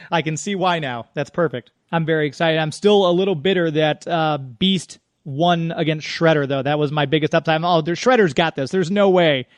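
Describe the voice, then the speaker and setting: High-voiced man hosting a radio show. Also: normal speed, no room sound. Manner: chatty, informal, off the cuff. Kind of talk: casual radio chat and sponsor reads.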